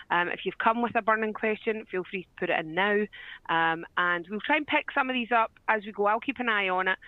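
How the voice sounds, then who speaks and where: A woman's voice: on the low side, no echo. A woman hosting an online business webinar, chatty and full of fillers over a video call. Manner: chatty, many fillers and restarts. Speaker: webinar host. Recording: tinny, dry phone-like line.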